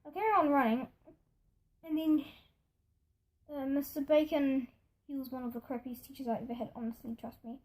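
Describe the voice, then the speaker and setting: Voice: high-pitched, fairly even intonation, normal speed, pauses often, darkish tone. A young person telling stories in a casual vlog style, rambling and indignant, acting out what other people said. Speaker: video storyteller. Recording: music behind the voice. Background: music.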